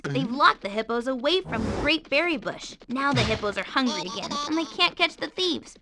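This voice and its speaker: A woman playing a perky, friendly young female faun who narrates video game cutscenes in an expressive storybook way. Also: sits high, background noise. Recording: game music under the voice.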